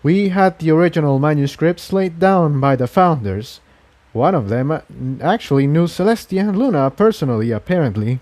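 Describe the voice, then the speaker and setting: A man's voice: on the high side, lively intonation, moderate pace, darkish tone, pitched above the performer's own voice, lightly acted. A man voicing a weary, earnest engineer pony in a storybook reading, talking in a reflective, confiding way.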